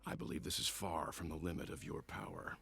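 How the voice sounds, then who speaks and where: An actor voicing a calm, mature mentor in a video game, measured, thoughtful and reassuring. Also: male voice, rumbling low, creaky, breathy edge, exaggerated voice. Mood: calm, encouraging. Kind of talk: video game character voicelines.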